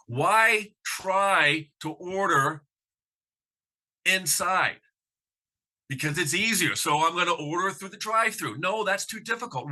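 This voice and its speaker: A man's voice: a little high, pushed and bright. A man who stutters, giving a motivational conference talk with emphatic rhetorical questions. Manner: stutters with sound repetitions. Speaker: conference speaker.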